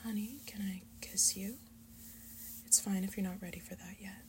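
A woman playing a seductive, doting mature succubus mother figure in breathy, whispered ASMR roleplay, slow, coaxing and soothing. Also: medium pitch, fairly even intonation, a little mellow, slight background noise, close to an everyday voice. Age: adult.

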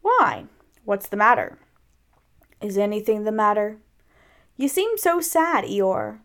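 A woman's voice: mid-range voice, sing-song, slow. A woman voicing a simple, kindly male storybook bear with an innocent, puzzled, childlike sing-song manner.

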